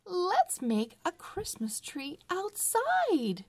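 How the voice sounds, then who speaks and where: Storybook little girl read by a woman: eager, wistful, emphatic, very slow, raised pitch. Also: huge pitch swings, full character voice. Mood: excited.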